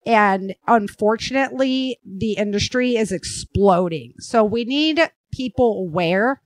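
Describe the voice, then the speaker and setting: Slow-paced woman hosting a casual podcast. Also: mid-range voice, no room sound. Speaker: podcast host and author.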